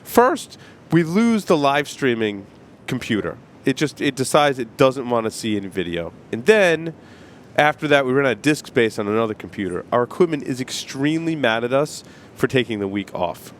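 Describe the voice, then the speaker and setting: A man hosting a live-streamed talk show. He chats and asks questions in a lively way. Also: mid-range voice, normal speed, slight background noise. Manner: chatty, animated questioning. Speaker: show host.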